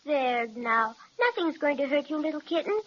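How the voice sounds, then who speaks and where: A woman voicing a curious, kind young girl in a 1930s radio serial, gentle and soothing. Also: sits high, lively intonation, normal speed, slight background noise. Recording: dull lo-fi 1930s radio transcription.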